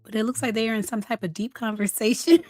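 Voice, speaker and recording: Slightly raspy, high-voiced woman gossip vlogger. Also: lively intonation, darkish tone, soft background music. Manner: chatty, candid, drawn-out emphasis. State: voice worn from recent illness. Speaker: host of a celebrity gossip channel. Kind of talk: casual gossip commentary and reaction.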